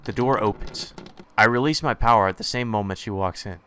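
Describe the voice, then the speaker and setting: Low-voiced man reading a visual novel. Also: fairly quick, pushed and bright, a little hiss or hum. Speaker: let's play host reading a visual novel aloud. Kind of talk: reading game text aloud with asides.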